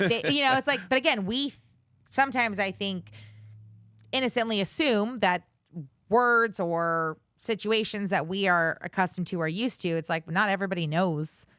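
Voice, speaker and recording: A woman's voice: lowish voice, a bit bright, clear, resonant tone, dry recording, studio quality. A woman in her forties, a guest in an interview, talking casually with lots of fillers and restarts. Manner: chatty, many fillers and restarts. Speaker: guest, commercial real estate director.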